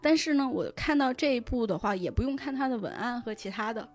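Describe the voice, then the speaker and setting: High-pitched woman chatting over music. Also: animated, fairly quick. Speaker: guest, novel recommender and editor. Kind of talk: casual podcast chat.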